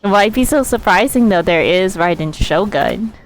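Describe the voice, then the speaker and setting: A woman game streamer giving casual live commentary, thinking aloud in a halting way. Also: mid-range voice, animated, low-quality recording.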